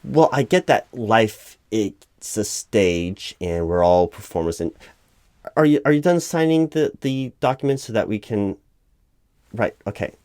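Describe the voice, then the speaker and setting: A man acting as a corporate recruiting manager with a lowish voice, recorded close and dry. He is polite and bureaucratic, a little flustered, halting and repeating himself as he patiently over-explains in a one-sided ASMR interview roleplay, spoken aloud. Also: animated, darkish tone, no echo. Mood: flustered, exasperated.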